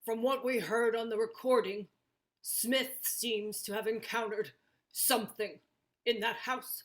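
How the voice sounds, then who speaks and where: Woman acting a slow, high-voiced composed nurse. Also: fairly even intonation, lightly acted. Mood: probing. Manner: measured, deliberate. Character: composed female nurse, politely insistent. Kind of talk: 1940s radio drama acting.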